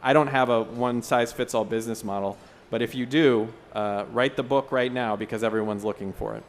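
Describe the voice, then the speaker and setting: A man speaking as a conference panelist, explaining ideas in a measured, articulate way with asides. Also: medium pitch, steady tone. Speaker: panel speaker from an entrepreneurship foundation. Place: conference session room.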